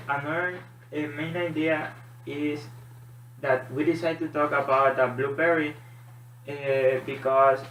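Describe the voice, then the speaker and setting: A man from Honduras with a Honduran Spanish accent presents as a student, hesitantly, pausing often in non-native English. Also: fairly high pitch, a bit bright. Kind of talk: classroom presentation. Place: echoey room. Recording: echoey room, distant mic, background noise.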